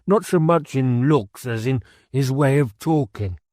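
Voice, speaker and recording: An animated grown man looks back fondly on his boyhood , acted out by a male audiobook narrator. The pitch is lifted well up and he tells it like a storyteller. The sound is very dry and close. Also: measured pace, dry recording, lightly acted. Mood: fond, amused.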